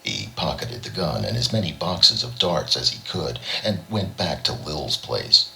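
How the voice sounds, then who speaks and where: A man's voice: rumbling low, big pitch swings, measured pace, creaky, bright, forceful tone, poor audio. A man reading a scripted short story as an audiobook narrator. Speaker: audiobook reader.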